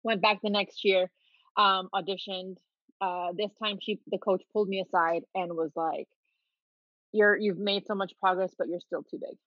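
A woman's voice: medium pitch, fairly even intonation, normal speed, dry recording, muffled recording. A woman from the Dominican Republic, raised in Miami, telling her story as a podcast guest in a candid, easygoing way. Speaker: podcast guest, clothing company owner. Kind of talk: casual interview storytelling.

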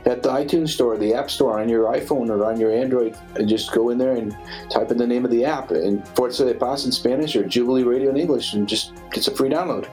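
Male radio guest, mid-range voice, unscripted, halting and rambling delivery. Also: mid-range voice, darkish tone, faint music behind, poor audio. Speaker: ministry director, radio show guest. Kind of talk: unscripted interview talk.

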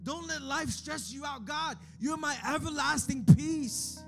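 High-pitched young male preacher, swings between quiet and loud, music softly behind. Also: a little slow, gravelly. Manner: shifts between hushed intimacy and shouted exhortation. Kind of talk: energetic sermon.

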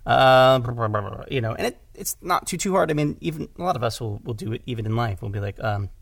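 Man with gravelly voice, chatting casually. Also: mid-range voice, lively intonation, fast, dry recording. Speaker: podcast guest, audio drama writer and director. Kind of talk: casual conversational discussion.

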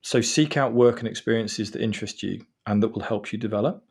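A man in his forties from England talks on a podcast with a somewhat low, husky voice. He is chatty and self-deprecating. He mixes advice with stories from his own working life. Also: fairly quick, a little mellow. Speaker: guest. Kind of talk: podcast interview.

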